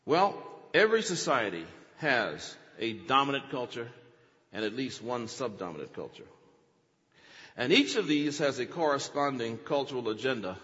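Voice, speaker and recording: Preacher guy teaching, really lively voice, bouncy pitch, echoey big room. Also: highs cut off. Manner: dramatic, acts out quotes with big pitch swings. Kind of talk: animated sermon teaching.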